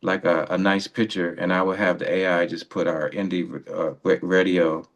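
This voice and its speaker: Older male co-host, low register, casual and rambling, frequently self-interrupting. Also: flat delivery, normal speed. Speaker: radio show co-host.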